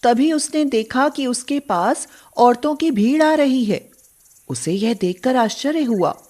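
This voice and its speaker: A woman narrating a moral storybook tale in a measured, scripted way. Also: sits high, expressive, darkish tone.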